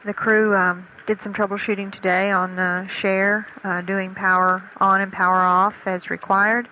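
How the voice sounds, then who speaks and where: A woman giving unscripted, matter-of-fact status commentary from mission control in a halting way. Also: mid-range voice, little pitch movement. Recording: dull archival radio feed with faint noise.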